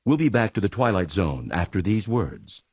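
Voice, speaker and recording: A man's voice: deep voice, very animated, normal speed. A man working as an announcer, reading scripted radio drama announcements. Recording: faint music behind the voice.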